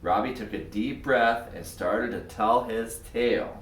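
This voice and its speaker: A man reading a children's story aloud in an expressive, scripted narrating style. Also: medium pitch, measured pace, a little hiss or hum. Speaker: storybook reader. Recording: roomy echo, far from mic.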